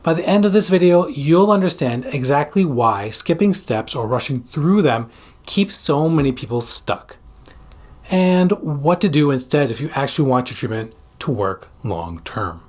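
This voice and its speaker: A man's voice: a little high, lively intonation, normal speed, a little mellow. Man giving a scripted, emphatic explainer. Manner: emphatic, direct address to the listener. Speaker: psychiatrist and video host. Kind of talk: scripted educational explainer to camera.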